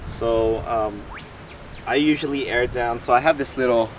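A man's voice: medium pitch, moderate pace, far from the mic, slight background noise. A man talking casually as the driver and partner in a road trip vlog. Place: in a car and outdoors by it. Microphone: camera mic at some distance. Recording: loud music, off-mic camera audio in car and outdoors. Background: music, vehicle sounds.

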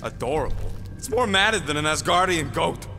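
Cranky gravelly little alien creature. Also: male voice, on the high side, animated, moderate pace. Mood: sardonic. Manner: brash, defensive, slangy. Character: small, cranky, boastful, combative alien creature gunner. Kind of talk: video game cutscene acting. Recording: loud music, long reverb.

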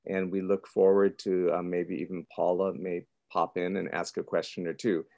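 A man's voice: low-pitched, level tone, moderate pace, gravelly, darkish tone. An older man informally hosting a virtual book launch, speaking on a video call from a home office. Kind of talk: informal event moderating.

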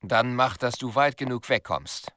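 A man's voice: medium pitch, measured pace, noisy background, lightly acted. Male actor as game military commander: stern, curt, authoritative, briefing and drilling a soldier. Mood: brisk, instructing. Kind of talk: video game dialogue, tutorial instruction.

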